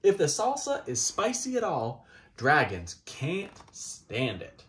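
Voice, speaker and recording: Wildly animated man reading a storybook. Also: fairly high pitch, takes it slowly. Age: 30s. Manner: theatrical, exaggerated, playful delivery with swoops and dramatic gasps. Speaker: storybook reader. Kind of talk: animated children's picture book read-aloud. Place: small child's room indoors.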